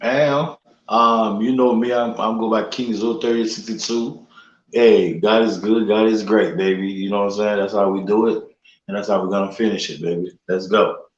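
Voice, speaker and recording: A man's voice: on the low side, steady tone, moderate pace. Man in an echoey room. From Haiti. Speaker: podcast guest. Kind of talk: casual chat. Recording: echoey room, dull lo-fi audio.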